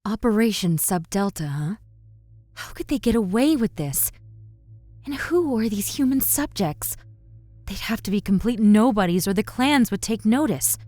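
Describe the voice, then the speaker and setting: A woman playing a caring, cautious and scholarly young woman in an audio roleplay drama, with a gentle, pensive manner. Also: medium pitch, lively intonation, normal speed, no echo, faint music behind, close to an everyday voice.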